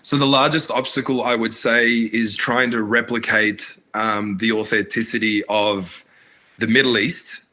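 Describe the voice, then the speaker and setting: A man's voice: on the low side, flat delivery, unhurried, bright, forceful tone. A man, born in Iraq, talking earnestly as a filmmaker guest in a casual interview, with lots of fillers and restarts. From Iraq, raised in Australia. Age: adult, married.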